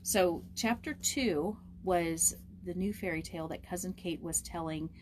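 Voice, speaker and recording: A woman's voice: lowish voice, a little slow, background noise. Woman reading a story aloud. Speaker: storybook reader and host. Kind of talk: read-aloud storytelling with casual asides.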